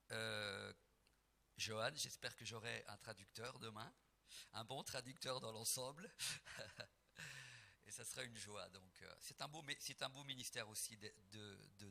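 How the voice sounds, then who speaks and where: Brief: middle-aged gospel preacher from Portugal, bright piercing tone, emphatic swells and soft reflective asides. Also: male voice, lowish voice, vocal fry. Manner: emphatic, rising to near-singing on key phrases; soft and reflective when telling family memories. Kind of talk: preaching between songs at a concert.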